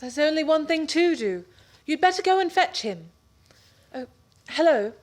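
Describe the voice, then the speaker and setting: A flustered young wife with a high voice, fussing and fretting over everything at once. Her lines come in the anxious, fluttering manner of a 1930s radio play. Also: big pitch swings, moderate pace.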